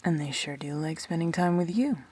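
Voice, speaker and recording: A woman's voice: fairly quick, a little mellow, slight background noise, lightly acted. A woman acting a wry, blunt but protective immortal best friend in an audio drama, with a dry delivery. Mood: teasing, encouraging. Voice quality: low, husky.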